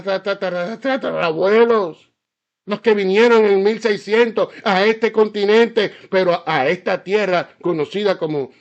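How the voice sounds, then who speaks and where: Fiery high-voiced male preacher. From Caribbean island. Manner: fiery, repeats himself, asks the listener if they understand. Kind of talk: sermon. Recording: slightly dull, lo-fi sound.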